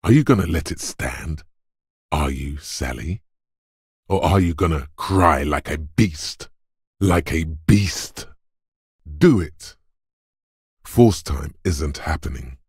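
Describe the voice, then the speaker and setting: A man playing a gruff, macho inner voice of brute muscle in a video game, goading and narrating in a deliberate, commanding, gravelly way. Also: darkish tone, no room sound, studio quality, full character voice. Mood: goading, forceful. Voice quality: gravelly, husky bass.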